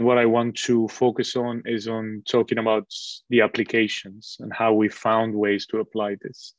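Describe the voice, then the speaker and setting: Man from Colombia, online lecturer, steady flat tone, lots of fillers, dull dry call mic. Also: mid-range voice, dry recording. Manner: relaxed, with frequent fillers and restarts. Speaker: guest lecturer. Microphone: video call microphone.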